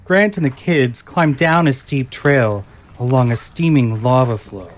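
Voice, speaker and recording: A man's voice: mid-range voice, measured pace. A man narrating an audio drama in a scripted, dramatic storytelling style.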